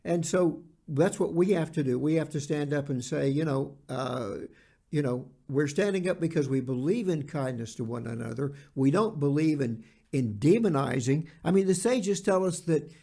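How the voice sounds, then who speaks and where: A man co-hosting a podcast, talking in an earnest, halting way and repeating words for emphasis. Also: fairly high pitch, vocal fry, warm tone. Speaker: podcast co-host. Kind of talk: conversational podcast discussion.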